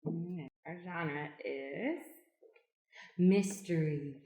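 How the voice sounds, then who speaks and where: In an echoey room, a woman speaks slowly in a lowish voice. She is an improv actor making casual introductions on a podcast. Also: warm tone. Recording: roomy, echoing room sound.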